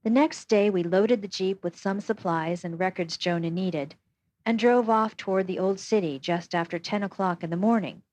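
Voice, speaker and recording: A woman reading a first-person memoir aloud as scripted narration, steady and even. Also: mid-range voice, moderate pace. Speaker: audiobook reader.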